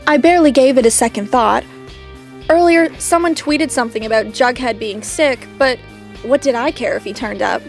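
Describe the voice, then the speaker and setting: Brief: woman as a high-voiced, haughty heiress narrator. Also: normal speed, faint music behind, lightly acted. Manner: haughty, dramatic storytelling. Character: spoiled rich teen girl, narrating to her father. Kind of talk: fan audio drama narration.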